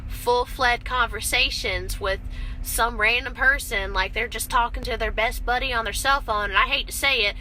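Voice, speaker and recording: Bright-voiced young woman, blunt vlog chat. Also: medium pitch, poor audio. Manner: blunt, bantering with co-host. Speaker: team truck driver and video host. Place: inside a truck cab. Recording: steady vehicle and road noise.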